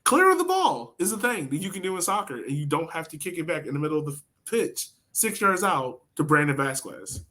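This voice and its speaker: A man's voice: a little high, sing-song, a little fast, few pauses, sharp tone. A man co-hosting a soccer podcast, talking in an informal, slangy way and telling stories casually. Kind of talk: casual sports chat.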